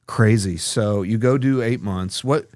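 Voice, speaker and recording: A man's voice: lowish voice, measured pace, darkish tone, dry recording. Joking man, podcast host, dry sound. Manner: casual, joking, street slang. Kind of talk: casual interview.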